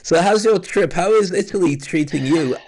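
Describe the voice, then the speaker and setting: A man's voice: sits high, normal speed, darkish tone. A British man hosting a casual live-stream chat over a video call, reflective and chatty. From Britain. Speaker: host.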